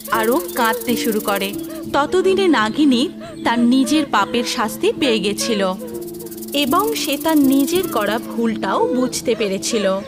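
A woman narrating a scripted fairy tale for children as the storyteller. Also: sits high. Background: music.

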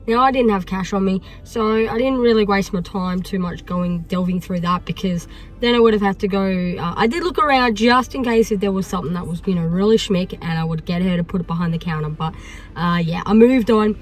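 An Australian woman in her thirties with a croaky voice, chatting casually to camera from a parked car on a phone held at arm's length. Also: medium pitch, brisk. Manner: chatty, upbeat, many fillers. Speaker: vlogger. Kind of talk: casual vlog talk to camera.

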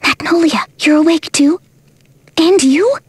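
A woman's voice: sits high, measured pace, dry recording. A woman playing a level-headed young adventurer in a game, wry and teasing in her delivery. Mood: subdued.

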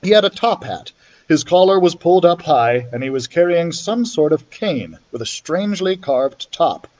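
A man's voice: on the high side, lively intonation, normal speed, darkish tone, low-quality recording. A man reading a children's story aloud expressively, as a library storyteller would.

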